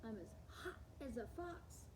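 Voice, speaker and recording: A woman hosting storytime, reading picture books aloud to young children in an expressive, animated way that acts out the words. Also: a little high, noisy background. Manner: animated, acts out words with slowed and varied delivery. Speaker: storytime host.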